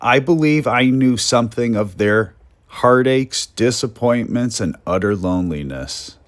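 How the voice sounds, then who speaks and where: A man's voice: mid-range voice, lively intonation, measured pace, no echo, natural voice. An American man doing a dramatic, projected reading as a forlorn, indignant young railroad telegraph employee of the 1890s. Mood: wistful, indignant. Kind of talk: dramatic reading of an old letter.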